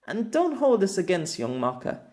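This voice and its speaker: A man's voice: high-pitched, huge pitch swings, moderate pace, darkish tone. A man voicing a grieving empress who reads out a tender, solemn farewell letter.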